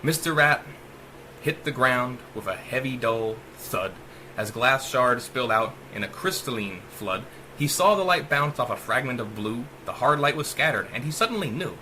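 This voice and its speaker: Casting: male author-reader, theatrical and emphatic rhyming narration, sharp bright voice, very lively intonation. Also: medium pitch, moderate pace, slight background noise, poor audio. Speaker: author reading own short story. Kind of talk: dramatic rhyming audiobook narration.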